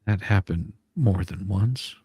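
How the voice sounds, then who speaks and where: A man with a noticeably gravelly, creaky voice reads a transcript aloud as a co-host. His delivery is informal and halting, with casual banter, and the sound is very dry, with no room on it. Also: sits low, warm tone, no room sound.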